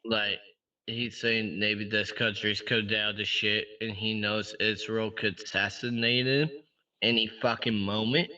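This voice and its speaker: A stranger on a video chat, a man talking in a slow, offhand way and swearing casually. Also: on the low side, flat delivery, pushed and bright. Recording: muffled distant voice over a video call.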